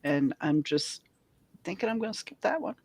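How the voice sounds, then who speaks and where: A woman's voice: low-pitched, lively intonation, normal speed, darkish tone, no echo. A woman hosting a casual chat, frank and opinionated in how she talks. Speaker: podcast host.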